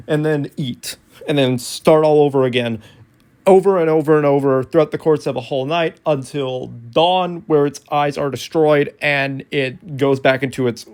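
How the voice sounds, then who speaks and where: A host giving an informal, detailed educational ASMR explainer with playful asides. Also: medium pitch.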